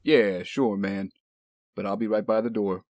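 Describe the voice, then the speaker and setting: An actor playing an easygoing but watchful bodyguard, dryly teasing and casual, in an audio drama. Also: male voice, lowish voice, fairly even intonation, measured pace, noisy background, natural voice. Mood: dry, firm. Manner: dry, casual, wry.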